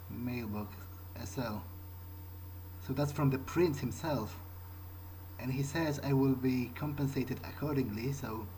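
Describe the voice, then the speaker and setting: A man role-playing a wary, pragmatic vampire in a video game, thinking aloud in a halting, understated way. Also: mid-range voice, mellow, slight background noise, rough recording. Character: male vampire musing to himself, wary, pragmatic. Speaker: gamer playing in character. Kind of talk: improvised in-character game monologue.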